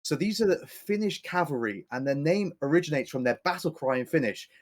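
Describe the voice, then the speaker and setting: A man's voice: fairly high pitch, lively intonation, brisk. A man co-hosting a history podcast, talking in a rambling, self-correcting, playful way. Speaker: podcast co-host. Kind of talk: casual history podcast chat.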